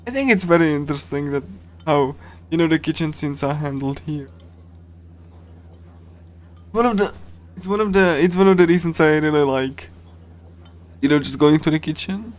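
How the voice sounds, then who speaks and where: A man streaming a game, chatting casually and reading along in a rambling, halting way with repeated phrases. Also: fairly high pitch. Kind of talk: casual livestream chat while reading and translating a game.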